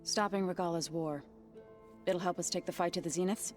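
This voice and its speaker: A proud warrior woman, played by an actress, firm and dry in her measured delivery. Her voice rests a little low, with faint game music underneath. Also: steady tone, darkish tone, natural voice. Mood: steady.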